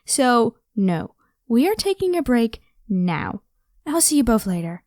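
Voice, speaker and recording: Casting: actress as protective, playful vampire woman, soft-toned and higher pitched, reassuring everyday delivery, for ASMR audio drama. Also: very animated, a little slow, dry recording, studio quality. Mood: firm, protective. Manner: casual, encouraging, reassuring.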